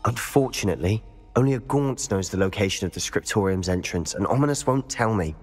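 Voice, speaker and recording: A determined young wizard in a game cutscene. His fairly low voice is confident and conspiratorial, ringing with long reverb over quiet music. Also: lively intonation, a little fast, husky. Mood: frustrated, determined. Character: determined, bold teenage male student of dark magic. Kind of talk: video game cutscene acting.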